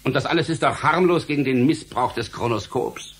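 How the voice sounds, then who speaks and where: An actor playing a stern, exasperated government official in a radio play, lecturing in an emphatic, theatrical, rhetorical way. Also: male voice, medium pitch, fairly quick, slightly rough, natural voice. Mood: indignant, warning. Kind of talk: German radio play acting.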